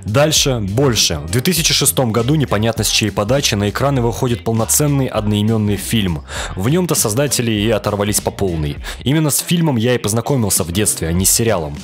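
A man's voice: on the low side, animated, quick pace. A young man from Russia delivering a scripted, wry review as a voice-over. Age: young adult. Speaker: video reviewer. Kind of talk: scripted cartoon review narration. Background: background music.

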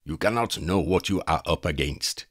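Kingsley, a stern gruff wizard minister, as a man puts him on. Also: low voice, moderate pace. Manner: stern, growling.

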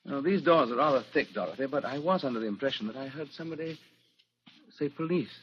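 A man's voice: medium pitch, quick pace, natural voice. An actor playing a smug, mock-polite wealthy man with veiled menace in a 1940s radio thriller. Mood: suavely probing. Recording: muffled, noisy 1940s radio recording.

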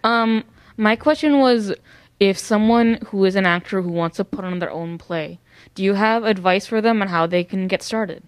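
A woman's voice: mid-range voice, normal speed, clean tone, no room sound. A woman in the audience of a university auditorium, politely asking a question.

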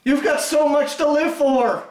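A man playing an earnest police negotiator, pleading loudly in a comic skit in an echoey room. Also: very high voice, a little slow, a little hiss or hum, pitched above the performer's own voice. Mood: urgent pleading. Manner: loud, pleading. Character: earnest male police negotiator shouting through a megaphone.

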